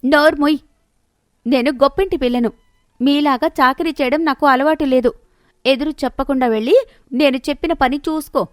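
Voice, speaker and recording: A woman's voice: high voice, normal speed, long pauses, no echo, clean studio sound, pitched above the performer's own voice, close to an everyday voice. A woman voicing a greedy, haughty wife who nags and bosses scornfully in a moral story. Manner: scornful, bossy, nagging. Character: haughty, scheming, greedy wife of an elder brother.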